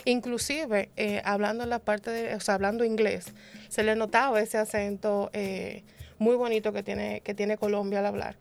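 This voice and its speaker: A woman's voice: medium pitch, flat delivery, normal speed, creaky. A woman from the Dominican Republic on a panel, telling stories with many restarts and tag questions. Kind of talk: conversational panel discussion.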